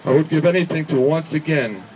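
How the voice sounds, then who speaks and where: A man reading a prepared speech at a charity event in an earnest, measured way, occasionally stumbling over words. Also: fairly high pitch, darkish tone. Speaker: speaker reading another person's speech. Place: charity event finish line, before a gathering. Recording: distant PA speech with background noise.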